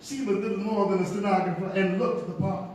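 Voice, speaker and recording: A man's voice: high-pitched, steady tone, a little fast, darkish tone, recorded from a distance, slight background noise, natural voice. A man playing a fussy, catty costume designer in a period stage mystery, haughty and affected, repeating phrases for emphasis. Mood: defensive, catty. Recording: echoey live theatre recording from afar.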